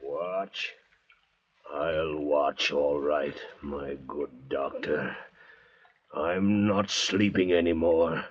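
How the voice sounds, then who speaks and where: An actor playing a menacing, sarcastic escaped convict crazed by thirst, with a slow, sneering, mock-polite manner, in old-time radio drama style. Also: male voice, very deep voice, slightly rough, exaggerated voice. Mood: sullen. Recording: muffled vintage radio recording.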